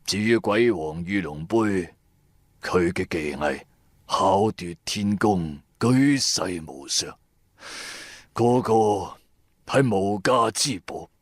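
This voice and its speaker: An actor with a Cantonese accent playing a shrewd, self-assured old antiques dealer in a radio drama, speaking in a measured, grandiose way. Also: male voice, sits low, expressive, no echo, studio quality, heavily acted. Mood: smooth, bargaining.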